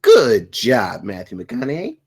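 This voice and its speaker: A brash, unruly defendant in a comedy table read, acted out by a reader, argumentative and dim. The pitch leaps around a lot. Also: huge pitch swings, a little slow, a little mellow, husky, exaggerated voice. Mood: rowdy.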